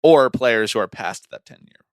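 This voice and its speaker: Animated, chatty man co-hosting a podcast. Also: medium pitch, moderate pace, no room sound, studio quality. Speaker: podcast co-host. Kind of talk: casual podcast banter.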